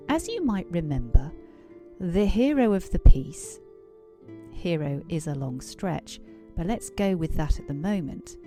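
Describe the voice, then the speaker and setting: A woman plays a sly, self-amused devil telling a tale in an audio drama. The voice is fairly low and soft-edged, taking its time over playful, wordy, theatrical lines. Faint music sits behind it. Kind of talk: audio drama narration in character.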